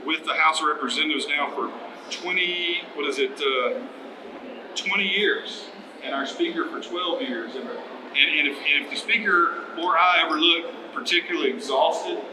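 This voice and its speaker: A middle-aged American man hosting a public announcement, speaking in a halting, enthusiastic way. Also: fairly high pitch. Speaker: event host, organization leader. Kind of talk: live introduction speech at a public announcement. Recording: echoey room with clear background noise.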